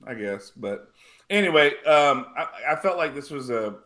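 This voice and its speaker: A man hosting a casual wrestling panel discussion, talking in a halting, conversational way and often repeating himself. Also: mid-range voice, expressive, sharp tone. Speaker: wrestling podcast host.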